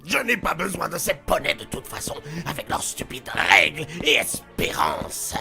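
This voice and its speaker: A performer voicing a theatrical, grandiose chaos spirit in an animated cartoon dub, mocking and self-pitying, bitter and wounded. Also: medium pitch, moderate pace, gravelly, music in the background, full character voice. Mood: bitter, defiant.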